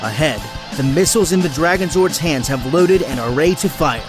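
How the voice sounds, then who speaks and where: A man's voice: a little high, animated, a little fast, music in the background. A man reading scripted dramatic narration for an audio drama.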